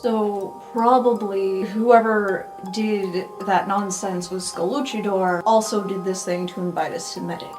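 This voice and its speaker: A woman's voice: mid-range voice, fairly even intonation, measured pace, few pauses, poor audio. A woman chatting casually as a player in an improvised tabletop roleplay game. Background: background music.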